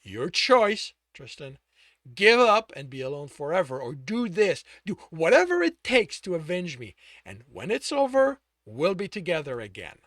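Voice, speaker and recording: A desperate young man who forces out harsh, loud commands, his voice rising high and leaping all over the place, as a male reader acts him out. The sound is close and completely dry, with no room around it. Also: very animated, a little slow, dry recording, heavily acted. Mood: harsh, commanding. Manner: loud, clipped, forceful delivery.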